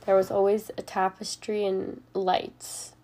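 A woman's voice: mid-range voice, monotone, a little slow, warm tone. A young woman chatting casually in a bedroom, upbeat and filling her speech with "like". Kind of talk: casual chat.

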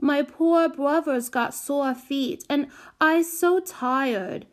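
A woman's voice: high-pitched, takes it slowly, pitched above the performer's own voice. A woman voicing a cute, bold little girl who coaxes with babyish grammar in a storybook. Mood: sweetly pleading. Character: cute wheedling little girl, bold, gap-toothed five-or-six-year-old.

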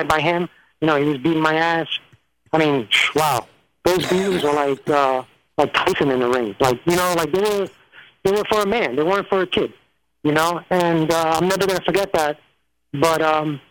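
A man's voice: on the high side, fairly bright tone, slight background noise, poor audio. A man, telling his life story as an interview guest, rambling with many fillers and restarts. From New York.

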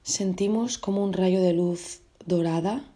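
A woman guiding a meditation, giving gentle, unhurried instructions in short phrases with pauses between them. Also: fairly low pitch. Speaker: meditation guide. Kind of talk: guided meditation.

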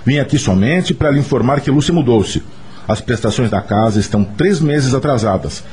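A man's voice: mid-range voice, expressive, moderate pace, darkish tone, a little hiss or hum, natural voice. Cold, formal man actor in radio drama. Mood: cold, curt. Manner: formal, curt, businesslike. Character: cold, formal, protective brother-in-law.

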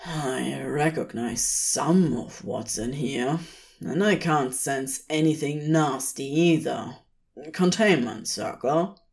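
A woman's voice: a bass voice, takes it slowly, gravelly, darkish tone, pitched below the performer's own voice, heavily acted. A woman voicing a wry, suspicious male demon with a terse, dry manner in an audiobook story. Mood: guarded. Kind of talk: fan fiction audiobook dialogue.

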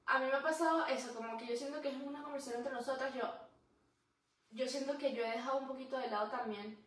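A woman's voice: sits high, steady tone, fast, a bit bright, recorded from a distance. A woman co-hosting a podcast in casual chat, rambling in long runs and laughing easily. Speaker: podcast co-host. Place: room where the podcast is filmed. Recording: very echoey room, mic set back.

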